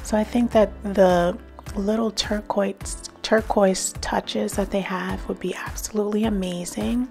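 A middle-aged woman narrating a shop-with-me vlog about home decor, enthusiastic and gushing over finds, sometimes dropping to a soft whisper. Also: mid-range voice, fairly even intonation, a little slow, creaky, faint music behind. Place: in a car, then walking through large stores.

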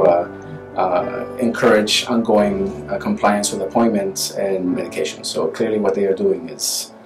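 A middle-aged male hospital physician answering interview questions in his office, halting with many fillers and restarts. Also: lowish voice. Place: office, indoors. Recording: roomy office with music bed.

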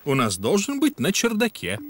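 A man voicing a calm, helpful father donkey in a children's cartoon dub, with a gentle, matter-of-fact manner. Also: a little high, normal speed, noisy background.

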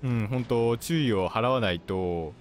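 A man commentating on gameplay as a video host, explaining politely in short, halting phrases. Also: mid-range voice, heavy background noise. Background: game music and artillery fire.

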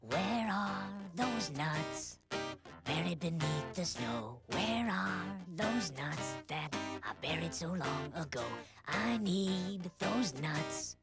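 Woman as a low-voiced singing squirrel. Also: animated, slow. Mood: searching, fretful. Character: singing squirrel fretting over buried nuts. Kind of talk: sung musical storytelling number. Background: loud acoustic guitar music.

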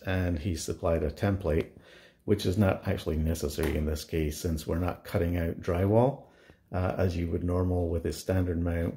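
A man giving a casual how-to narration of a home installation, explaining step by step with halting pauses mid-sentence. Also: low-pitched, creaky, darkish tone. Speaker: DIY home installer presenting his project. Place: indoors in a home.

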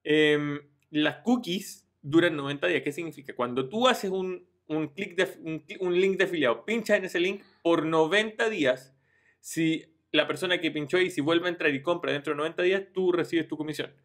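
A man's voice: a little high, normal speed, a bit bright. Man explaining a screen tutorial. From Chile. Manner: informal, addresses viewers directly, frequent "ya" and restarts. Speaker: online business youtuber.

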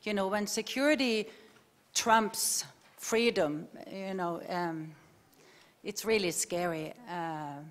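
A woman's voice: medium pitch, takes it slowly. Woman from Norway, hesitant, reflective, audience comment. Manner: hesitant, searching for words, reflective. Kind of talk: comment from the floor. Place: large lecture hall.

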